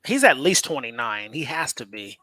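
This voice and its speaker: A man's voice: medium pitch, very animated, normal speed, sharp tone. A man from California co-hosting a casual sports podcast, rambling with lots of fillers. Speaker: podcast co-host.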